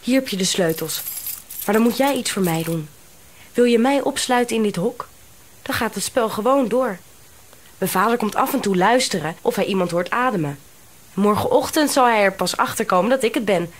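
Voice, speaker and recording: An actress playing an unruffled, playful daughter in a radio drama, talking in a breezy, teasing manner. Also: female voice, mid-range voice, animated, moderate pace, a little hiss or hum, natural voice. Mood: coaxing. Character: amused, unruffled, playful daughter of an eccentric man.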